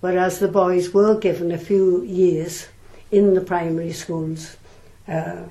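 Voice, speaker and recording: Older nun, low mellow voice. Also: female voice, fairly even intonation, poor audio. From South Wales. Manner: measured, thoughtful, with frequent pauses and ums. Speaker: nun, interview guest. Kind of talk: reflective interview answers.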